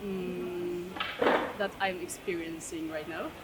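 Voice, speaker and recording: A woman's voice: fairly low pitch, fairly even intonation, slow. A woman giving casual, informal answers as an interviewee on the street. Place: outdoors in a city street. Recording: distant, loud traffic noise.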